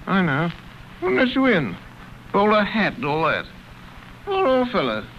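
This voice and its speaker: An actor playing a rambling, drunk gentleman in a vintage radio drama, with slurred, sing-song speech. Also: high-pitched, huge pitch swings, unhurried, a little hiss or hum, exaggerated voice. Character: drunk upper-class English gentleman, rambling. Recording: dull lo-fi old radio recording.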